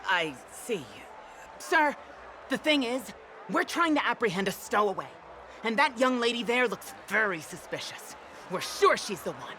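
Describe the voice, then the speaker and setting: Cocky male henchman, bright forceful voice, very animated pitch, eager game minion, faint ambience. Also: unhurried, a little hiss or hum, exaggerated voice. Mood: puzzled, insistent. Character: cocky, dim, eager male patrol goon. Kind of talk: game voice acting.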